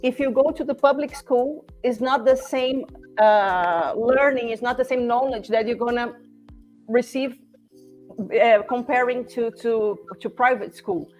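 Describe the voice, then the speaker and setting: A woman in her forties from Brazil, speaking English with a Brazilian Portuguese accent as a podcast guest, halting and searching for words with many restarts. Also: on the high side, a little mellow, soft background music. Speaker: podcast guest, former classmate. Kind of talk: casual conversation.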